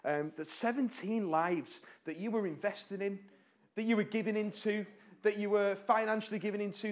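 Chatty man addressing a congregation. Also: high-pitched. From North West England. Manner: warm-hearted, chatty, asks the audience questions; fillers and restarts, pauses while slides change. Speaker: charity speaker at a church. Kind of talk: church talk to a congregation. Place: church.

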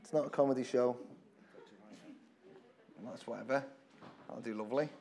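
A man with a hoarse, croaky voice preaching an informal church sermon to a congregation, talking in a chatty way and often repeating his words. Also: mid-range voice, normal speed, darkish tone. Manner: conversational, self-deprecating, repeats phrases.

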